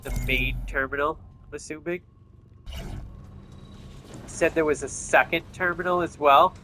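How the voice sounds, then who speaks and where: A man's voice: on the high side, steady tone, measured pace, bright, forceful tone, a little hiss or hum. A man giving casual gameplay commentary as host, thinking aloud and speculating as he goes. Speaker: gamer host of a gameplay video.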